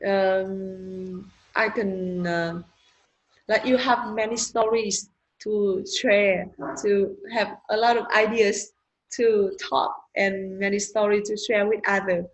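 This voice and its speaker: A woman's voice: medium pitch, steady tone, takes it slowly. A young adult woman chatting in English, hesitant and searching for her words with many fillers. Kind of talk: casual conversation in English. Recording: echoey room.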